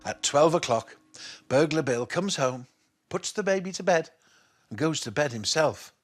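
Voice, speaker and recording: Animated, unhurried man reading a bedtime story. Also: fairly high pitch. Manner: expressive, animated, measured.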